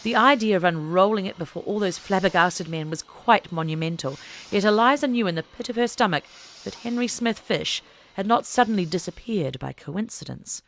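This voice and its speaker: A woman reading scripted fiction as an audiobook narrator, in an expressive, deliberate storytelling manner. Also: mid-range voice, a little hiss or hum.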